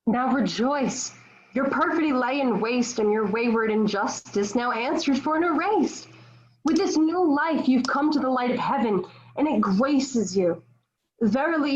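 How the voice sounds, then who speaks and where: A woman's voice: high-pitched, expressive, moderate pace. A woman playing a serene, forgiving, saintly young warrior with composed, formal delivery in a play read over an online call. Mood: serene exalted. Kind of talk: acting in a virtual table read. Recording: dull lo-fi online call audio.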